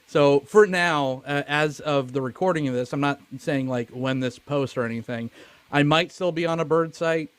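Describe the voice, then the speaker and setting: A man's voice: fairly high pitch, fairly bright tone, dry recording. Joking man hosting a casual podcast. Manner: joking, profane, self-deprecating. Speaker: podcast host. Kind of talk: casual movie review chat.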